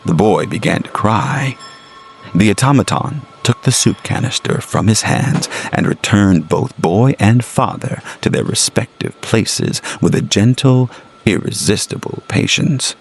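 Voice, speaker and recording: Low, gravelly male storyteller. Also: expressive, slight background noise. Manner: grave, deliberate. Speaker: narrator. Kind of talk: scripted gothic story narration.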